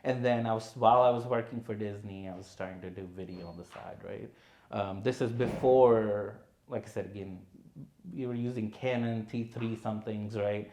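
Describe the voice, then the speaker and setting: A man's voice: fairly low pitch, normal speed. Man telling his story, echoey room. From India. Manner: relaxed storytelling with many "you know" and "right". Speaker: guest filmmaker. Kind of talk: conversational podcast interview.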